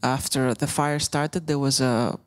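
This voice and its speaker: A woman's voice: very deep voice, fairly even intonation. Greek woman journalist speaking on a panel. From Greece. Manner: measured, with frequent fillers and restarts. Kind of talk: panel discussion talk.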